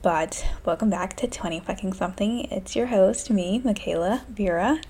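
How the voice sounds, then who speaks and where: A woman in her twenties hosting a solo podcast, talking low and hushed in a candid, profane casual chat from a room in a small house. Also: moderate pace, breathy edge. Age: 20s. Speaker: podcast host. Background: faint classical music.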